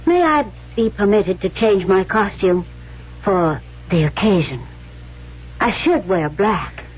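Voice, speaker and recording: An actress playing a proud, poised stage performer accused of spying, theatrical and dignified, in a 1970s radio drama. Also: female voice, medium pitch, animated, slow pace, breathy edge. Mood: resigned, dignified. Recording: dull vintage radio recording.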